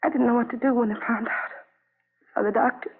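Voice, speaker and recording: An actress playing an anguished, lonely young wife in a 1950s radio drama, speaking in halting, repetitive fragments on a vintage broadcast recording. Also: female voice, fairly high pitch, steady tone, slightly rough, narrow, tinny audio. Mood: weary, confessing.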